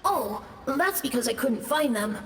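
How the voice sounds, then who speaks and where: An actor voicing a timid, gentle boy ghost who got lost, speaking shyly and hesitantly. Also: very high-pitched, lively intonation, a little slow, a little hiss or hum, full character voice. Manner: shy, hesitant, soft-spoken. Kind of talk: video game voice acting.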